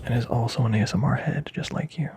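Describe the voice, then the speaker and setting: Whispering man with gravelly voice. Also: mid-range voice. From Brazil. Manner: playful deadpan teasing, repeats phrases slowly. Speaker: ASMR language teacher. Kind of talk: whispered ASMR language lesson. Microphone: close mic.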